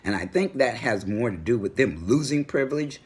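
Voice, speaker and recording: A middle-aged man with a booming voice, talking assertively in a personal home vlog. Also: moderate pace, slightly rough. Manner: assertive, animated storytelling. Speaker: vlogger. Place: home room with a world map on the wall.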